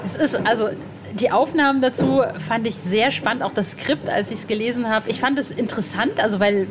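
A woman's voice: medium pitch, noisy background. A woman hosting a casual podcast interview, chatty with hesitant phrasing. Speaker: podcast host.

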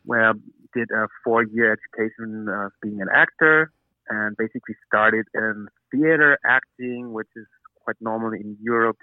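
A man's voice: on the low side, no echo. A man from Austria with an Austrian German accent, speaking English as a second language, answering interview questions by phone, hesitant with many fillers. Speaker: actor, interview guest. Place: hotel room. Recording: tinny phone line, dry.

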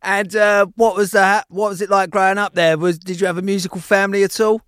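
A man's voice: sits high, level tone, brisk, a bit bright, smooth, no room sound, clean studio sound. A man hosting a podcast in a jokey, matey and enthusiastic way, with casual swearing. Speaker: podcast host. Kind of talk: upbeat podcast presenting and casual chat.

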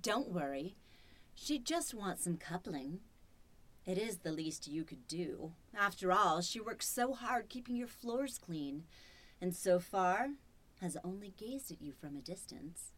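Woman playing a slow, sinister household robot. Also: fairly low pitch, animated, a bit bright. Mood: condescending, coaxing. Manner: slow, deliberate, condescending. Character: sinister female household robot, coolly menacing and controlling. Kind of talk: old-time radio horror acting.

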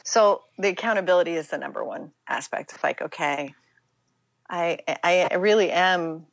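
Woman, American accent, middle-aged, slightly low pitch, dry close sound, reflective interview talk, halting, emphatic self-quoting. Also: vocal fry, no echo, poor audio. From United States, Pittsburgh, Pennsylvania. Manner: halting and emphatic, acting out her inner dialogue. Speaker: interview guest, author.

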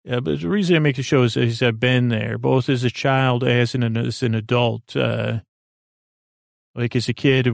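Creaky, monotone man lulling listeners to sleep. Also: mid-range voice, no echo, clean studio sound. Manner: meandering, halting, full of filler words; lulling, gentle, reassuring. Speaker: sleep podcast host. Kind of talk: rambling soothing bedtime monologue.

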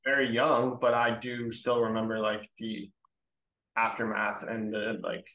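A young man explaining things in a measured way in a casual podcast conversation. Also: lowish voice, flat delivery, bright, forceful tone. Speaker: lost media researcher. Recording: roomy, echoey sound.

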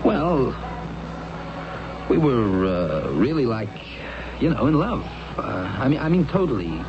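An actor playing an anxious, insistent young jazz musician husband with an American accent, halting and slangy in a radio drama. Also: male voice, medium pitch, very animated. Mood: defensive, evasive. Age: young adult. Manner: halting, slangy, repeating himself. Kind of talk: 1970s radio drama acting. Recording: noisy, muffled 1970s radio drama tape.

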